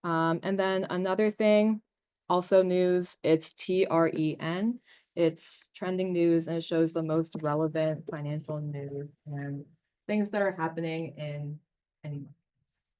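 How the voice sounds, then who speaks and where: A young woman teaching assistant talks a class through tips in a lecture room. Her voice is low for a woman and smooth and clear. She sounds chatty, with plenty of "um" and "like.". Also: fairly even intonation, unhurried, darkish tone, no echo. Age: young adult. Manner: chatty, with fillers like "um" and "like". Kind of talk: classroom presentation.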